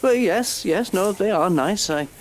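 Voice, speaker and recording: A politely weary young holidaymaker in a radio play. His pitch moves about a lot as he hedges awkwardly and drily, with clear vehicle noise behind him. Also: lively intonation, unhurried, poor audio. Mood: awkward, flustered. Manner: dry, hesitant, awkwardly polite. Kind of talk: audio drama comedy acting.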